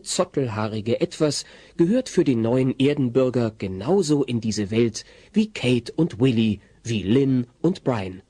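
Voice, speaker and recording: A man narrates an audio play in a soft, dark, husky voice. His intonation moves expressively, and the sound is dry and close. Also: mid-range voice, no echo.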